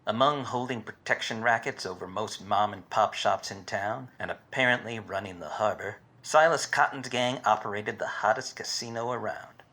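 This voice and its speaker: A man playing a wisecracking, obtuse private detective in a deadpan 1940s radio noir parody. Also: on the low side, normal speed, hardly any pauses. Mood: wry, deadpan. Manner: deadpan, hardboiled.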